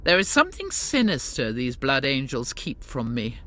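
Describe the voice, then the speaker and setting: An actress playing a stern, devout warrior sister in a video game, speaking formally and gravely with suspicion and zeal. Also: female voice, very deep voice, huge pitch swings, moderate pace, pushed and bright, heavily acted. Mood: brooding suspicion. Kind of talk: dramatic video game acting.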